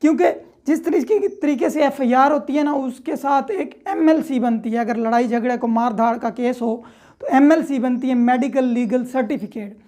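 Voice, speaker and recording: A woman's voice: high-pitched, darkish tone. A woman with a Pakistani accent giving an explanatory law lecture in a conversational way, with frequent check-in tags. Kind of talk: explanatory lecture with worked examples.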